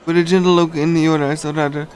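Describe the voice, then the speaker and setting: A man reading a visual novel aloud on a casual livestream, in a halting, pieced-together way. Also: fairly high pitch, level tone, darkish tone, a little hiss or hum. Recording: music behind the voice. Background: game music.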